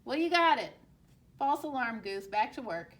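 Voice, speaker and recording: A woman voicing an excitable, bossy, possessive male duck who scolds and exclaims in a read-aloud story. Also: on the high side, moderate pace. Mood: annoyed.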